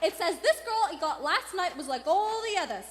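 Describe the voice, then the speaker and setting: A woman's voice: extremely high pitch, animated, moderate pace, fairly bright tone, slight background noise. A woman playing a fretful, gossipy Victorian London landlady in dramatic old-time radio style. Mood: anxious, fascinated. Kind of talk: 1940s radio acting. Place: theater stage before live audience. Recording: echoey theater hall.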